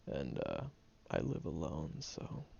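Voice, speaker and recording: A man playing a gruff, gravelly tsundere student. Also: very deep voice, wildly swooping pitch, slow, mellow, a little hiss or hum, heavily acted. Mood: grudgingly listening. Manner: hesitant, reluctant, full of ums and stammers. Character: gruff prickly young male student, secretly caring. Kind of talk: audio roleplay to the listener. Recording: dull lo-fi recording.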